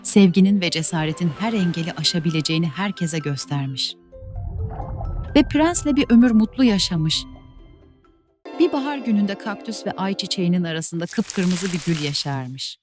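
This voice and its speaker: A woman narrating classic fairy tales for children in a soft, measured way. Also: fairly low pitch, lively intonation, faint music behind. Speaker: storyteller. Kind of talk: scripted fairy-tale narration.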